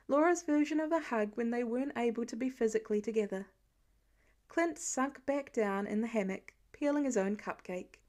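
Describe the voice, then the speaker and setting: A woman reading a story aloud as an expressive audiobook-style narrator of fan fiction. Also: fairly high pitch.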